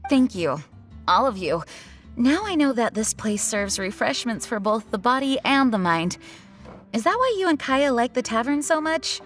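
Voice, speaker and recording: An actress playing a dutiful, earnest young woman leader in a video game, speaking politely and formally in a measured way. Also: on the high side, big pitch swings, fairly bright tone, soft background music. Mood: grateful, sincere.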